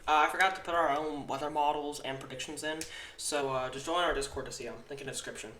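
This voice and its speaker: A tired young man with a Southern US accent hosting a casual weather forecast, halting through lots of fillers and restarts. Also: on the high side, fairly even intonation, bright, forceful tone. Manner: halting, many fillers and restarts, self-corrects. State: tired after little sleep. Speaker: weather forecaster, channel host. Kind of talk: casual forecast walkthrough over weather maps. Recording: echoey room.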